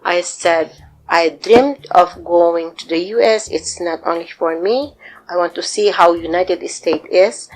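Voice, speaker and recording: A woman's voice: on the low side, poor audio. A middle-aged woman and mother speaking earnestly and encouragingly in a personal interview.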